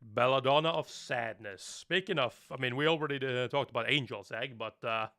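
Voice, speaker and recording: A man's voice: medium pitch, moderate pace, bright, forceful tone. A young man from Norway, a native Norwegian speaker talking in English, chatting casually on a live stream, rambling and laughing often.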